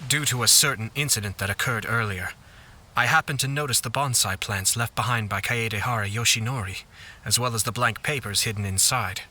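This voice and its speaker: An actor playing a calm, calculating young noble clan lord in a video game, speaking in a measured, formal way. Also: male voice, lowish voice. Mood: composed, explaining. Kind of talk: scripted video game dialogue. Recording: music playing behind the voice.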